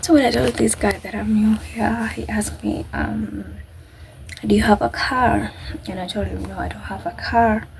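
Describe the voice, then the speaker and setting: A woman vlogger with a Kenyan accent telling a personal story casually, in a halting, conversational way that acts out bits of dialogue. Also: mid-range voice, fairly even intonation, creaky, a little hiss or hum.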